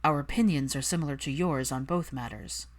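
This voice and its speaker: A woman voicing a composed, wry, probing man of an old wizard family, with a smooth, measured manner in audiobook dialogue. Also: very deep voice. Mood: measured, serious.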